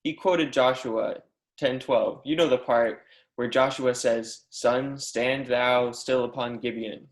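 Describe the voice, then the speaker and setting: A man's voice: mid-range voice, steady tone, slow, echoey room, lightly acted. A man reading the part of an eager, persistent young mathematics professor, earnest and declamatory, in an amateur readers theatre. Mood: insistent.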